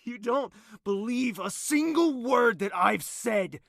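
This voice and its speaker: Fantasy audio drama: defiant, embittered prince played by a man, creaky and unhurried, sardonic, hushed one moment and explosive the next. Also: very high voice, lively intonation, dry recording, studio quality, full character voice. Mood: bitter, hurt. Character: embittered crown prince, proud self-confessed villain, wounded and defiant.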